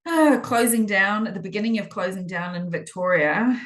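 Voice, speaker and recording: A woman's voice: mid-range voice, moderate pace. A woman, a podcast guest answering interview questions in a hesitant way, with many fillers and restarts.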